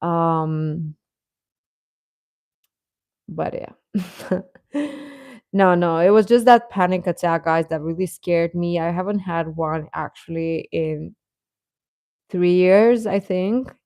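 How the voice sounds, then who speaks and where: A woman's voice: fairly low pitch, slow pace, long pauses, darkish tone, no room sound. Chatty woman livestreamer with a dry, close sound. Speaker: livestream host. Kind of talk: casual chat with live viewers.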